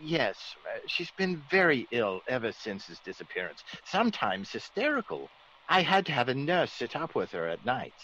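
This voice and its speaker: An actor playing a genteel, easygoing English gentleman with polite, old-fashioned exclamations, in a classic radio drama. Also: mid-range voice, very animated, normal speed, a little hiss or hum. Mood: troubled. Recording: dull old radio recording.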